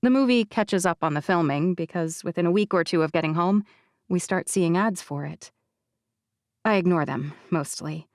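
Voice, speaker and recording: A woman's voice: on the low side, normal speed, no echo. Wry woman narrating an audiobook, dry sound. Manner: wry, confiding, conversational. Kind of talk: first-person romance audiobook narration.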